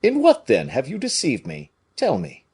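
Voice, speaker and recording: A man reading an audiobook, voicing a big, blustering, naive but good-hearted nobleman with loud, emphatic exclamations. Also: on the high side, huge pitch swings, normal speed, breathy edge, voice pushed up, exaggerated voice. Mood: aggrieved.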